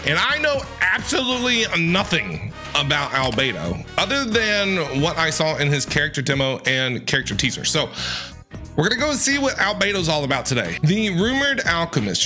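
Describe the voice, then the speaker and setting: Woman streamer, bright forceful voice, very animated, playful casual game commentary, faint music behind. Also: deep voice. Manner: playful, exclamatory. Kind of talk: casual reactive gameplay commentary.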